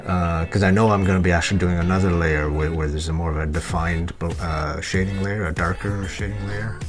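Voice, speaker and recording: A man with a very low voice narrates a digital art demonstration. He speaks off the cuff, halting and searching for words as he thinks aloud. Guitar music plays clearly behind his voice. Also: steady tone. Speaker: digital art tutorial presenter. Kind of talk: unscripted software tutorial voice-over.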